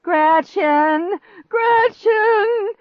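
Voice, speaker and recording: A woman voicing an excitable, easily spooked young boy in an audiobook, with urgent delivery. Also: moderate pace, no room sound, highs cut off. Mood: frantic. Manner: frantic, high, urgent calls. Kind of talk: audiobook character dialogue.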